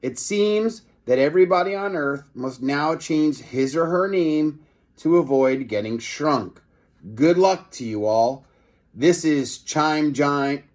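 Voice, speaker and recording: A man voicing an earnest female local news reporter who announces solemnly in broadcast style. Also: fairly high pitch.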